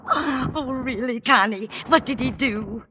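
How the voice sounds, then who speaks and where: A woman's voice: on the high side, unhurried, dull audio, close to an everyday voice. A woman playing a chatty, kindly older landlady, fluttery and motherly, in an old radio sitcom. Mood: teasing, curious. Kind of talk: 1940s-50s radio sitcom acting. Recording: noisy old-time radio recording.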